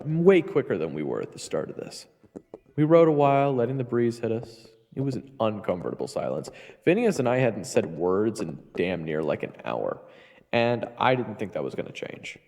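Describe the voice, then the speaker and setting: Wry man as a young adventurer, creaky, reverberant. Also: mid-range voice, very animated, moderate pace, mellow. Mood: weary narrating. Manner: wry, sarcastic. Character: young male adventurer hero, wry, irritable trainee and narrator. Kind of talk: fantasy audio roleplay acting and first-person narration.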